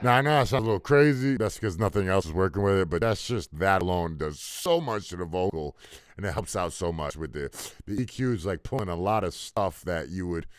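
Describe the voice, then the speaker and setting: A man with a deep voice, talking loosely through a music mixing tutorial with fillers and casual swearing. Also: lively intonation, brisk. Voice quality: deep voice, muffled by a faulty mic input. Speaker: music producer teaching mixing. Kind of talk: casual screen-recorded tutorial. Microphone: desktop mic into recording software.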